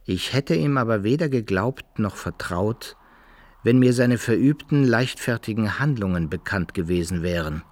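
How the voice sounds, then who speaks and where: An actor playing an adventurer who recounts his brawls and travels in measured, old-fashioned literary radio drama narration. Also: fairly low pitch, animated, lightly acted. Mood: measured recounting.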